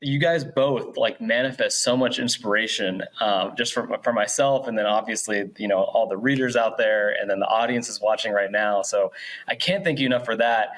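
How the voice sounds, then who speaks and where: A man's voice: fairly low pitch, normal speed, a bit bright, poor audio. A man hosting a casual interview, speaking in an effusive, affirming way. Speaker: interviewer, host.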